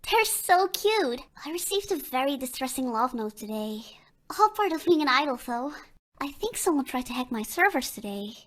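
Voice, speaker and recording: Casting: young female android idol for a game; very high, perky, cute, theatrical. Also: sing-song, normal speed, fairly bright tone. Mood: playful, matter-of-fact. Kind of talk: video game character dialogue.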